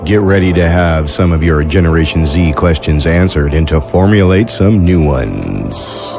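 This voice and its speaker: A man's voice: very deep voice, lively intonation, moderate pace, gravelly. A man hosting and narrating a fiction podcast in a scripted style. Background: music.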